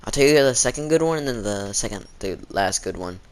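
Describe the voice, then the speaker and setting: Halting teenage boy storyteller with sore throat. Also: on the low side, lively intonation. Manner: halting, informal, slangy, dramatizing quotes. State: sore throat, frequent throat clearing. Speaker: video storyteller. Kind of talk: casual rambling storytime.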